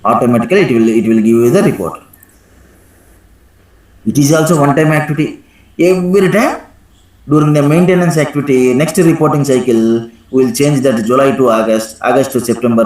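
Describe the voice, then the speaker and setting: An echoey recording of a man with a medium-pitched voice leading a live software lesson. He gives step-by-step instructions in a teacherly way and often stops to check that listeners follow. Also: fast talker. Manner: instructive, frequently checks with "right?". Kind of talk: live screen-share software tutorial with questions to students. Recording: echoey room sound.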